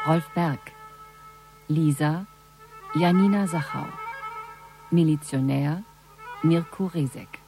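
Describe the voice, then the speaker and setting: An announcer formally reading scripted title and cast credits for a radio play in a measured way. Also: medium pitch, darkish tone.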